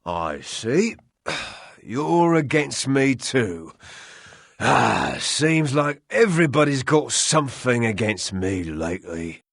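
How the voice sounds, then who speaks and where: An actor playing a drunken, heartbroken townsman in a video game, husky and self-pitying, rambling with slurred hesitations. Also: mid-range voice, sing-song, slow pace, a bit bright, heavily acted. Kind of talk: video game character acting.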